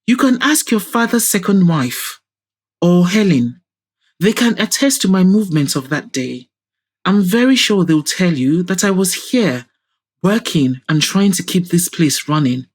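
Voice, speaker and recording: A woman's voice: lowish voice, breathy edge. A woman playing an arrogant middle-aged businessman in an audio drama, pompous, mocking and self-righteous. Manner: pompous, scornful.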